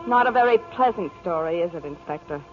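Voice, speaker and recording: Lively earnest American actress as a 1940s businesswoman. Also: on the low side, fast talker, over loud music, close to an everyday voice. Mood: subdued narrating. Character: determined, hard-working businesswoman and devoted mother. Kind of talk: 1940s radio acting. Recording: dull, noisy 1940s radio recording.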